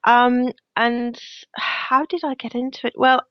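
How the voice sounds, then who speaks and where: A woman's voice: on the high side, flat delivery, a little slow, no echo, lo-fi sound. A woman from Denmark with a Danish accent and a cold, chatting as an interview guest. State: has a cold. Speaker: guest, knitwear designer. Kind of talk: interview answers.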